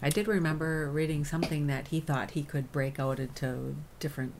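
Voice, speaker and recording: A woman's voice: deep voice, steady tone, fairly quick, vocal fry, background noise. A woman co-hosting a casual podcast, chiming in with short, hesitant remarks. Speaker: podcast co-host.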